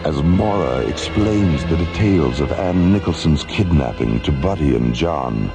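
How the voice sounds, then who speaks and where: Deep, ominous sci-fi narrator. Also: male voice, animated, measured pace, over music, exaggerated voice. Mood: ominous, dramatic. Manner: portentous, dramatic. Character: grave, ominous male narrator of space tales. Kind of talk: scripted narration, late-1970s science fiction radio drama.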